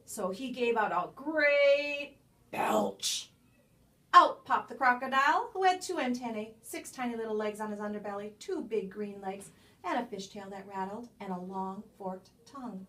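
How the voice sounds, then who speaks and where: Recorded a little way from the microphone, a woman with a mid-pitched voice reads a children's picture book aloud. She tells it expressively, leaning on key words and pausing for drama. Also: bright, forceful tone. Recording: distant microphone.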